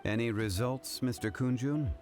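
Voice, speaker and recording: A man voicing a calm, dignified expedition leader in a video game, measured and formal in his delivery. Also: mid-range voice, faint music behind, natural voice. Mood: composed. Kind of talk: video game character acting.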